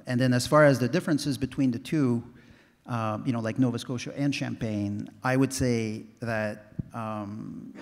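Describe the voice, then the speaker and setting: A man in his forties speaking as a winemaker on a conference panel, animated and full of "you know, like" fillers, holding a handheld mic. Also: medium pitch. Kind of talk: panel discussion. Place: stage in a large hall. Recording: long hall reverb.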